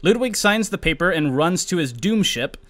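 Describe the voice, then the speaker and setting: Animated, high-pitched male podcast host. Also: moderate pace. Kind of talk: casual comedic reading and chat.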